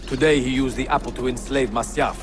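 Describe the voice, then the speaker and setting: An actor playing a grave, composed young medieval assassin leader in a video game, speaking in a solemn, formal manner. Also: male voice, mid-range voice, fairly even intonation, fairly quick, noisy background, lightly acted. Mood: calm, persuasive. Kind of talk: video game cutscene acting.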